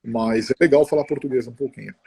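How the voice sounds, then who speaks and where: Mellow husky middle-aged man chatting. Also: mid-range voice. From Brazil. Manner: chatty, halting, many restarts and tag questions. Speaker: interview guest. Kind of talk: casual conversational interview.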